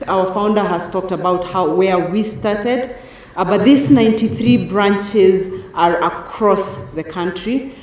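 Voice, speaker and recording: A woman's voice: mid-range voice, takes it slowly, a little mellow. Woman in a very echoey hall. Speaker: bank representative. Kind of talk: answering an audience question formally. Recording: very echoey hall, faint noise.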